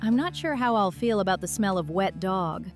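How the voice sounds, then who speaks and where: A woman's voice: mid-range voice, animated, normal speed, soft background music, lightly acted. A woman voicing a teasing young adventurer with a dry, playful manner in a fantasy audio drama.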